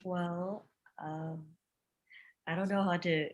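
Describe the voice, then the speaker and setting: A woman's voice: fairly low pitch, fairly even intonation, slow, low-quality recording. A woman in her late twenties from Georgia, a podcast guest telling about herself in a casual, chatty way. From Georgia, USA. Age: 20s. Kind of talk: casual chat.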